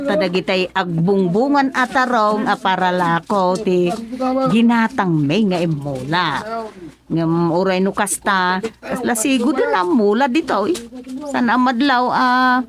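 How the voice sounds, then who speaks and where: A woman's voice: medium pitch, lively intonation, measured pace, crowd noise, natural voice. A woman playing a busy but easygoing, chatty village woman with an Ilocano accent in a radio comedy drama. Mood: showing around.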